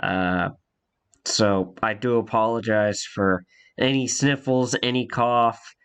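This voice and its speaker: A man's voice: on the low side, steady tone, slow, a bit bright, clean tone. A congested young man telling a rambling personal story in a casual vlog, with many fillers and restarts. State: congested from a cold.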